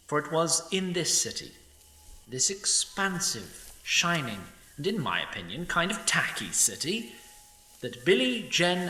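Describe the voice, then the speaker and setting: A man's voice: mid-range voice, pushed and bright, heavily acted. A man voicing a wry, ageless sprite narrator. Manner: wry, opinionated asides. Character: snarky timeless male sprite narrator. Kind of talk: audio drama narration. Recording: roomy echo.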